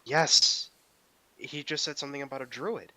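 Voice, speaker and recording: A man with a bright, forceful voice at middle pitch plays a tabletop roleplaying game. He talks casually, exclaims bluntly and tends to repeat himself. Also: normal speed.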